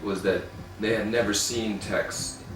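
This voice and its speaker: Man speaking slowly in a distant, echoey room. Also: lowish voice, fairly even intonation, background noise. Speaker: actor. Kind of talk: making-of interview.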